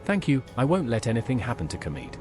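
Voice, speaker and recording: A man's voice: mid-range voice, huge pitch swings, fast talker. A man voicing an earnest, inquisitive adventurer in a fantasy video game, polite and questioning. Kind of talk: AI-voiced fantasy game dialogue. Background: game music.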